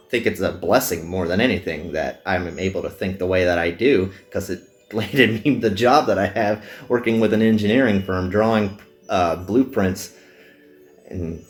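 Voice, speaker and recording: A man hosting a gaming video, chatting candidly in casual, wandering commentary. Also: sits low. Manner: candid, wandering train of thought.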